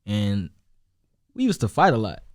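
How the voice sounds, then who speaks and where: Young male rapper guest, deep low voice, Chicago accent, loose rambling delivery, dry close studio sound. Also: wildly swooping pitch, unhurried, dry recording. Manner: loose, rambling, with many restarts and fillers. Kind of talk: casual interview chat.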